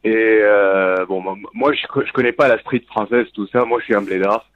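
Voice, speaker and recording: A man from Tunisia, a native Arabic speaker, calling in to a live talk stream and talking earnestly with frequent restarts. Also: on the low side. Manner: earnest, halting with many restarts. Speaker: caller. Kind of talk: call-in talk. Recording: dull lo-fi phone call audio.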